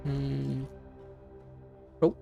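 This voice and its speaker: A game streamer thinking out loud in a playful, foul-mouthed way. The delivery is unhurried with lots of vocal fry, and the pitch jumps around. Faint music sits underneath. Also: little pitch movement. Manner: playful, sweary, talking to self. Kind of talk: casual gameplay commentary.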